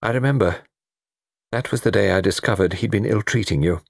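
A man playing a passionate, protective gentleman lover in a storybook, speaking in impassioned, broken phrases. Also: on the low side, a little fast, vocal fry, no echo, clean studio sound. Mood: grim.

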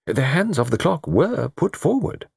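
A man voicing a fussy, excitable elderly British gentleman in a storybook, savouring his words theatrically. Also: a little high, unhurried, vocal fry, breathy edge, dry recording, studio quality, voice pushed up, exaggerated voice. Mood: musing.

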